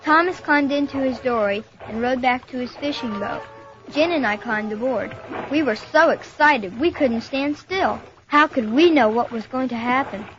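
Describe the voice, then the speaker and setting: Excited young teen, letter-style narration, fairly high pitch, muffled vintage record, music behind. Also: female voice, normal speed. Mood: earnest storytelling. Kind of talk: 1970s children's adventure record narration.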